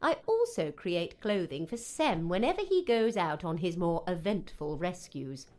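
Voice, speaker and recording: A woman's voice: on the low side, sing-song, measured pace, a little mellow. A woman playing a kindly, chatty, motherly seamstress in an audiobook drama. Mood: cheerful, chatty. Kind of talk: audiobook character acting.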